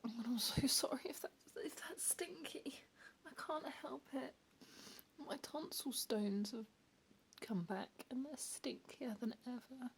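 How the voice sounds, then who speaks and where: A young woman whispering softly and shyly in an ASMR roleplay, speaking close to the mic. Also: high-pitched, slow, slight background noise. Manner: soft whispering, apologetic and shy. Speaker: ASMR creator.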